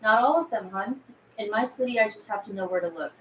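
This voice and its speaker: A woman's voice: mid-range voice, quick pace, close to an everyday voice. A woman playing a cynical, shrewd underground boss with a sardonic, hard-edged, profane delivery in a zombie film script read. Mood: menacing, smug. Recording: roomy, echoey with room noise.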